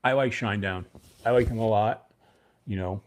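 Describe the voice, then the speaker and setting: Middle-aged guy from brooklyn, kinda mixed ny jersey accent, lowish voice, rambly livestream host chatting with viewers. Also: moderate pace, slight background noise. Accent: mixed New York and New Jersey. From Brooklyn, New York. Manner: rambling, talks to chat viewers by name. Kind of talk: casual livestream chat.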